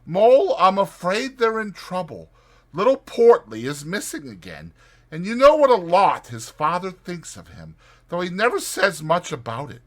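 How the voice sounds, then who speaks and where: A man's voice: high-pitched, sing-song, normal speed, voice pushed up, exaggerated voice. A man voicing an earnest, worried male water rat in a dramatized storybook reading, speaking in a grave, emphatic way. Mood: anxious.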